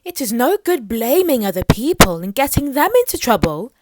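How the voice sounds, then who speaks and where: A woman's voice: a little high, very animated, normal speed, dry recording. A woman voicing a stern, angry mermaid queen from a storybook, scolding and commanding in a regal way.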